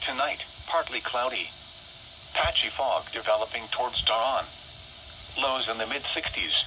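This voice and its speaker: A man's voice: medium pitch, expressive, normal speed. A man reading a scripted weather forecast in formal, clipped phrases over a radio. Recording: muffled weather radio, loud room noise.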